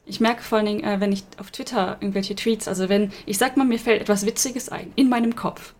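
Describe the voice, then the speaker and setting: A woman's voice: mid-range voice, fairly even intonation, fast talker, a little mellow. A woman co-hosting a casual podcast, thinking aloud with many hesitations and restarts. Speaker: podcast co-host.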